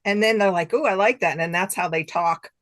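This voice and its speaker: A woman's voice: medium pitch, brisk, no echo, clean studio sound. A woman guest chatting casually in an interview, often laughing as she talks and acting out imagined exchanges. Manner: animated self-quoting, acting out imagined exchanges; giggly, laughs often while talking. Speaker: guest author.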